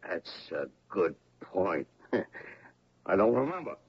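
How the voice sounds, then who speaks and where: An actor playing a half-mad, confused alcoholic derelict in a radio drama, halting and repeating himself as he pleads. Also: deep voice, sing-song, husky, full character voice. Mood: rambling, muddled. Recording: dull, lo-fi old radio recording.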